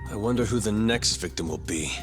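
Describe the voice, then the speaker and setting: A man playing a cold, insinuating authority figure in a video game cutscene, pointed and deliberate. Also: lowish voice, music in the background, lightly acted. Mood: cold warning.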